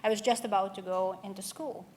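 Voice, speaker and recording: A woman's voice: medium pitch, fairly even intonation, a little fast, darkish tone, a little hiss or hum. A woman from Russia giving a personal, earnest conference keynote, with frequent ums and restarts. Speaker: keynote speaker. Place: event hall.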